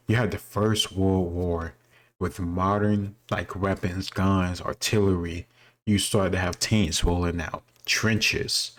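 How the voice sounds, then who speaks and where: A man giving casual, explanatory commentary and thinking aloud as he answers quiz questions, opinionated and repeating himself for emphasis. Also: sits low.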